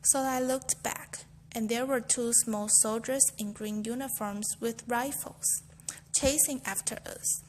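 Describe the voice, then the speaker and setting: A woman's voice: on the high side, fairly even intonation, a little slow, a little hiss or hum, poor audio. A young woman, a university student, reciting a memorized speech in a careful, earnest storytelling way. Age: young adult.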